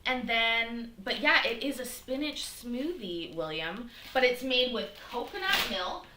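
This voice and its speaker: A middle-aged woman with a bright, fairly high voice chats away as she cooks, rambling between fillers and greeting viewers by name. Her home kitchen gives the sound a roomy echo with a faint hiss underneath. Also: lively intonation, measured pace. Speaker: livestream cooking show host. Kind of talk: casual livestream chat while cooking. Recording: echoey kitchen, faint noise.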